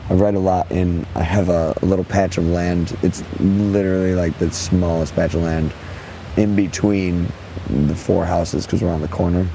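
Hoarse young musician guest, gravelly low voice, easygoing and rambling, faint outdoor noise. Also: male voice, fairly even intonation, normal speed, dark tone, poor audio. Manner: laid-back, laughs often, rambling. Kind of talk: casual interview chat. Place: outdoors at a festival.

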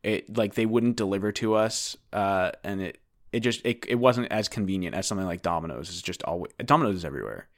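Low-voiced young man, halting chat. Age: young adult. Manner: halting, restarts often, lively. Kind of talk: casual chat.

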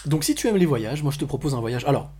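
A man's voice: mid-range voice, very animated, quick pace, dark tone. A man hosting a conversational podcast interview, often repeating and rephrasing as he goes. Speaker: podcast host.

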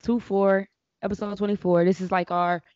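A woman's voice: medium pitch, flat delivery, moderate pace, darkish tone, no room sound. A woman hosting a podcast in casual chat, talking in easygoing slang with lots of fillers. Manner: laid-back slang, filler-heavy rambling. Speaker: podcast host. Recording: dry, muffled lo-fi audio.

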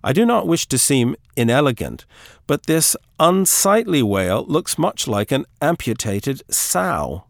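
A man's voice: mid-range voice, big pitch swings, a little slow, gravelly, dry recording, studio quality. A man reading a literary audiobook as a scripted narration.

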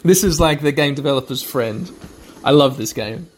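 A man's voice: fairly high pitch, moderate pace, a little hiss or hum. A man hosting a podcast, giving chatty, wry running commentary as he plays a video game for listeners. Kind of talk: narrating gameplay to listeners.

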